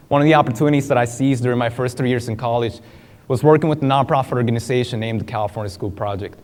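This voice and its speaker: A man's voice: medium pitch, darkish tone. A young man from Colombia, a native Spanish speaker, giving an earnest personal testimony speech on a large conference stage with a lapel mic. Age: young adult. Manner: earnest, storytelling, moves hands while standing. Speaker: student speaker.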